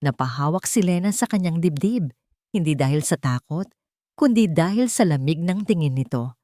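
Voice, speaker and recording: A woman's voice: low voice, expressive, moderate pace, mellow, no echo, studio quality. A woman reading a dramatic scripted story as its narrator.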